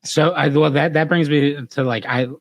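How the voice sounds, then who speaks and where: A man co-hosting a casual chat, speaking informally in halting phrases with some swearing. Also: fairly high pitch, steady tone, dry recording. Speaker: podcast co-host.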